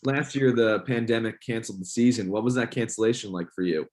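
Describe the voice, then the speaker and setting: A man's voice: lowish voice, brisk, a little mellow. A man who works as a play-by-play announcer, asking questions in a friendly interview style.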